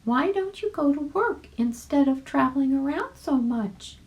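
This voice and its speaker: A woman voicing a tiny, sensible red ant in a storybook, small and squeaky, polite and matter-of-fact. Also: animated, unhurried, rough recording. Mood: advising.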